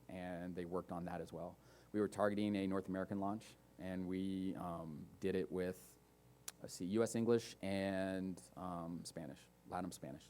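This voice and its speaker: Male conference speaker, low voice, relaxed Q&A delivery. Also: a little hiss or hum. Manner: relaxed, lots of fillers and asides. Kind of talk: casual technical talk with audience questions. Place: conference room.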